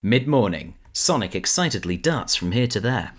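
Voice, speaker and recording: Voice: medium pitch, expressive, moderate pace, a little hiss or hum. A narrator reading scripted present-tense story narration for an audio drama.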